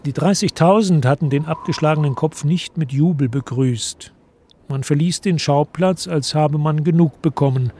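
A man narrating a literary radio play from a script in a measured, solemn manner. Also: a little high, dark tone, husky.

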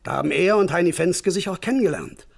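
Folksy male village informant, radio crime play; extremely gravelly, raspy voice, gossipy and well-informed. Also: sits high, animated, quick pace, full character voice. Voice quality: gravelly, husky. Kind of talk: German radio crime drama acting.

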